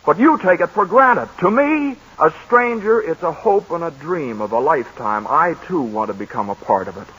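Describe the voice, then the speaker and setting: A man's voice: on the high side, animated, moderate pace, few pauses, fairly bright tone, breathy edge. An actor playing an eager young insurance salesman in a 1940s radio play, stammering and earnest. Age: young adult. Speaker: insurance man new to town. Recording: muffled 1940s radio broadcast.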